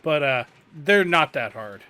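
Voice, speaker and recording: A man's voice: a little high, lively intonation, unhurried, bright, forceful tone, clear, resonant tone, no room sound. A man hosting a let's-play, giving casual commentary with dry, wry asides. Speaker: gaming channel host.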